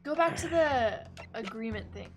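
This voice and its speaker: A woman's voice: a little high, lively intonation, moderate pace, over music. A woman bantering casually as a co-player on a game stream.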